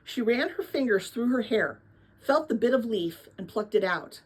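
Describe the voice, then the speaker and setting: A woman narrates a storybook as a read-aloud. Her voice sits fairly high with a slightly husky texture. The delivery is expressive and varied in pitch, with a casual touch. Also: moderate pace, low-quality recording.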